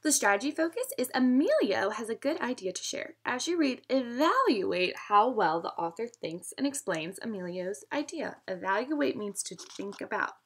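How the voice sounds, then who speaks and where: High, very animated woman reading to children. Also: moderate pace, hardly any pauses, husky. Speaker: teacher reading aloud to students. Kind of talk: storybook read-aloud for children.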